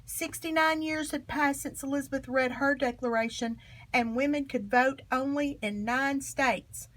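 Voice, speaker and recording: High-voiced woman reading a storybook aloud. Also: a little slow, fairly bright tone, rough recording. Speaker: storybook reader. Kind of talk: expressive read-aloud of a children's history book.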